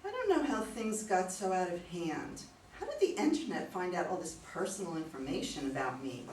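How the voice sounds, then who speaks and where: Lady reading as anxious female client in a play, lowish voice, roomy echo. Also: very animated, normal speed, a little mellow. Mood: worried, apologetic. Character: worried female client seeking help, apologetic. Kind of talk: amateur staged play reading. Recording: echoey room, distant mic, faint noise.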